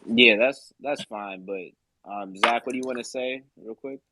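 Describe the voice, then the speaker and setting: A man's voice: medium pitch, a little slow, bright, forceful tone, a little hiss or hum. A man hosting a casual discussion, talking emphatically and bluntly dismissing other views. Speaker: discussion host.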